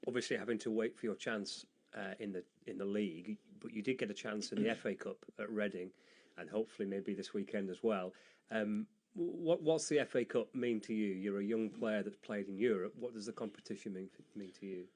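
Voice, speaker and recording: A man's voice: on the low side, brisk, darkish tone. A man interviewing a footballer with short questions, sometimes stumbling and restarting his words. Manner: asks short questions, some slips and restarts. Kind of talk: sports interview.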